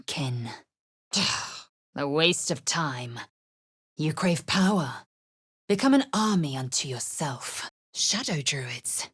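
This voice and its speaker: A woman voicing a fierce, proud githyanki warrior in a video game, imperious and clipped in manner, with a husky, breathy voice. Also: deep voice, dry recording, studio quality, full character voice. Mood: wary, blunt.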